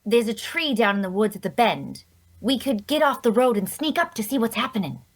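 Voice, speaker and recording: A woman's voice: a little high, animated, fairly quick. A woman playing a sly young female thief in a fantasy drama, with a coy, teasing, conversational way of talking. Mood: alert, hushed. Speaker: voice actor. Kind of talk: scripted character dialogue at a table read.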